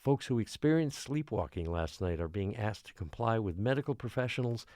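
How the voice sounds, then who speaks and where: A beleaguered mayor of a small island in an audio drama. His voice is low and closely recorded, with little room sound. He speaks formally and with care, trying to keep frightened people calm. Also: no room sound, studio quality. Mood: placating, strained. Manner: measured, formal, placating. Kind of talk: audio drama acting, public address.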